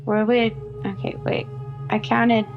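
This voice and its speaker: A woman's voice: on the high side, flat delivery, slow. A woman talking casually as a player in a tabletop game, recorded with dull, lo-fi sound. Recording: dull lo-fi sound under music.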